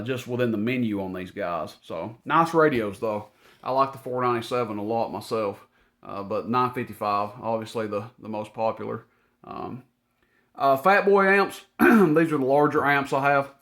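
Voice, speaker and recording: A man giving an informal, matter-of-fact, rambling rundown of technical gear as a seller. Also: mid-range voice. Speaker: radio and amplifier seller presenting inventory. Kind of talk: informal product rundown to camera. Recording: noticeable background noise.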